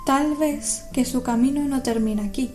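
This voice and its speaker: A woman as a high-voiced young disciple. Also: normal speed, darkish tone, echoey room, soft background music, natural voice. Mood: hopeful, reflective. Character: young male disciple John, hopeful and amazed. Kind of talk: youth radio play acting.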